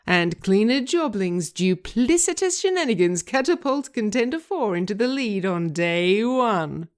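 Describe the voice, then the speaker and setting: A woman's voice: mid-range voice, lively intonation, a little slow, voice pushed up, natural voice. A woman playing a slick, smirking television game show host with an arch, presenterly manner, in an audio drama. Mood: gleeful, arch.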